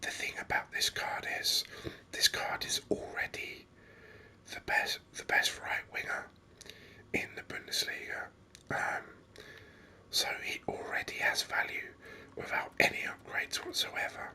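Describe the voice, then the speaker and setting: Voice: a little slow, sharp tone. Whispering ASMR gaming commentator. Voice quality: breathy unvoiced whisper. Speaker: gaming video host.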